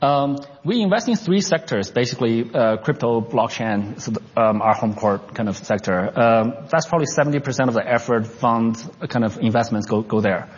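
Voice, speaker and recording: A man from China who was raised in Canada, speaking as an investor and entrepreneur in an unscripted interview, with halting speech full of restarts and fillers. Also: medium pitch, slightly rough, big-room sound, dull audio.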